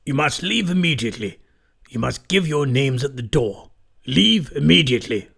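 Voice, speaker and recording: Casting: man acting as stern commanding officer, gravelly and raspy, for a wartime audio drama. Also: medium pitch, lively intonation, moderate pace, fairly bright tone, full character voice. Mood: barking orders.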